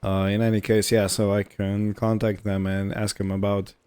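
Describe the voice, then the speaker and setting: A man giving casual roleplay game commentary, thinking aloud in short, unhurried phrases over a background hum. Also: lowish voice, flat delivery, darkish tone, clean tone. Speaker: gaming video host.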